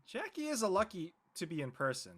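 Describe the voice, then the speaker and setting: A young woman playing an easygoing, earnest college student with casual, slangy, expressive speech in a comedic drama reading. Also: on the low side, a little fast, breathy edge. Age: young adult.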